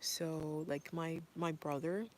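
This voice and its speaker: Woman from Zimbabwe, deep-ish voice, casual parent vlogger, earnest and rambling. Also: steady tone, takes it slowly, darkish tone, a little hiss or hum. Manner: earnest, rambling with many fillers. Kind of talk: casual talk to camera.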